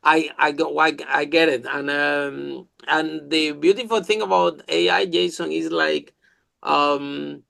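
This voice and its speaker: A man with a Spanish accent, Spanish as his first language, hosting a podcast interview in an enthusiastic, halting way with many fillers. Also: fairly high pitch, fairly even intonation, vocal fry, bright, forceful tone. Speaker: podcast host. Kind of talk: casual interview chat.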